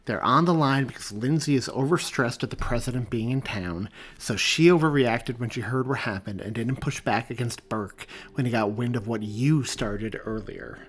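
Laid-back male cop partner, read by a man, husky, exasperated, coaxing. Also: mid-range voice, normal speed, hardly any pauses, natural voice. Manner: loud, exasperated then coaxing.

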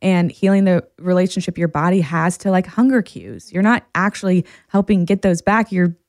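A woman in her thirties with a slightly low voice makes earnest, encouraging points in a podcast chat. She often speeds up when she explains something. The recording is dry and close. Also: expressive, moderate pace, a little mellow, no echo. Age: 30s. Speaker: podcast host. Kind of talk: casual podcast conversation.

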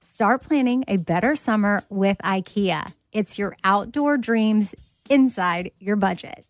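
A woman's voice: mid-range voice, slow, dry recording. A woman, hosting a podcast in an upbeat, chatty way. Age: 30s. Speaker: podcast host. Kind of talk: casual interview chat.

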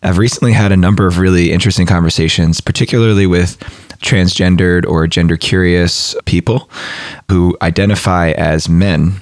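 Hoarse deep-voiced man hosting a podcast. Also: fairly even intonation, normal speed, no room sound, studio quality. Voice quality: raspy. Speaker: podcast host. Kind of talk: podcast interview.

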